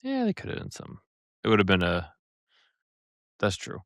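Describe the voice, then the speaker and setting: A man's voice: on the low side, huge pitch swings, moderate pace, dry recording, studio quality. A man co-hosting a casual fan podcast, laid-back and joking, with lots of "like". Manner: laid-back, fills with "like" and jokes. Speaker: podcast co-host.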